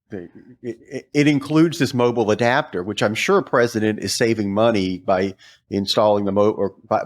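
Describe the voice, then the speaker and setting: A man co-hosting a podcast, casually discussing a product in a halting way, as if working it out aloud. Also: low-pitched. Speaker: podcast co-host.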